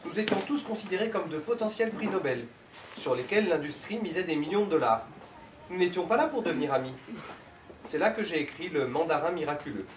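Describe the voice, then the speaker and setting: Man reading aloud in a fairly high voice. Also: lively intonation, fairly quick, background noise. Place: bookshop, indoors. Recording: echoey shop from far off.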